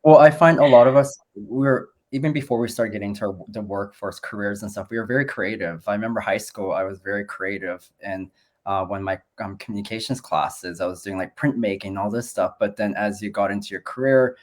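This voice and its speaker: A man from the Philippines in his forties speaks on a group video call in a low voice. He is friendly and conversational and pauses with frequent ums. He talks as a host, introducing himself to the group. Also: steady tone, a little mellow. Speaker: nurse and business owner, call host.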